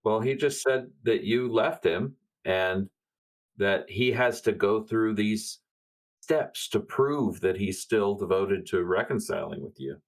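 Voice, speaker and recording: Male roleplay gamer, deep low voice, conversational in-character delivery. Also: slow pace, rough recording. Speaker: player.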